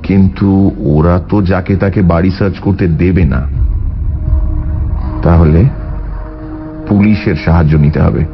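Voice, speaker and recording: A man playing a calm, shrewd private detective in an audio drama, measured and confident. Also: low-pitched, muffled recording, over music. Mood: decisive, reassuring. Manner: measured, assured, authoritative.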